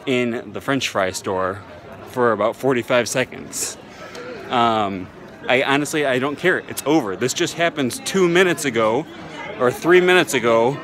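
A man in his thirties telling a story in a casual selfie vlog outdoors, relaxed and chatty with lots of ums, filmed on a phone held at arm's length. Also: medium pitch. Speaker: language-learning vlogger. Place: busy city streets and square outdoors. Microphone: phone camera held at arm's length. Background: faint street and traffic noise.

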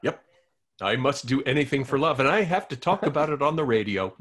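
A man's voice: medium pitch, lively intonation, a little fast, fairly bright tone. A man playing an eager, hopelessly romantic forty-five-year-old radio host in a comedy table read. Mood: eager, hopeful. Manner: eager, pleading.

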